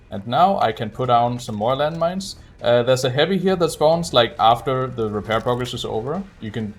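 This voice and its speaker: A man giving casual live commentary on a game as a streamer, explaining things in a low-key way. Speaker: streamer speedrunner. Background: game music.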